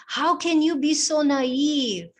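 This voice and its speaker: A woman's voice: sits high, slow pace, pitched above the performer's own voice. A woman voicing a scheming, insistent female palace maid in a spoken story, pressing her points urgently.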